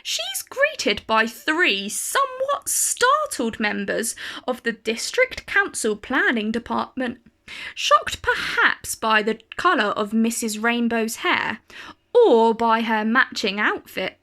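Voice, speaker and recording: Bright, animated woman reading a storybook. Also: on the high side, takes it slowly. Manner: animated, carefully phrased. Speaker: storyteller reading a children's picture book. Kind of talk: expressive read-aloud storytelling for children.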